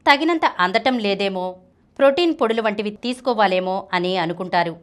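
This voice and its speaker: A woman's voice: medium pitch, expressive, a little fast. A woman presents a health explainer, reading scripted narration aloud. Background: music.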